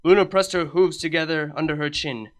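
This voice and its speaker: A woman's voice: deep voice, steady tone, brisk. A woman reading comedy fanfiction aloud as a narrator. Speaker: fanfiction reader. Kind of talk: storytelling narration.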